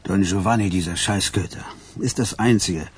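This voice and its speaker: An actor playing a sentimental old gangster confidant in a radio play, musing and confiding. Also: male voice, low voice, moderate pace, a little hiss or hum, close to an everyday voice. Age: older.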